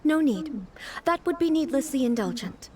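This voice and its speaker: A woman's voice: sits high, animated, normal speed, a little mellow. A woman voicing a regal, composed ruler in a video game, stately, formal and bluntly curious. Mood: wistful, curious. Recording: music behind the voice.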